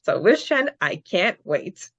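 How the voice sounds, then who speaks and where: A woman co-hosting a casual fan podcast, chatty and quick to laugh as she reads out answers. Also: lowish voice, big pitch swings, vocal fry, a bit bright, breathy edge, no echo, dull audio. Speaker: podcast co-host.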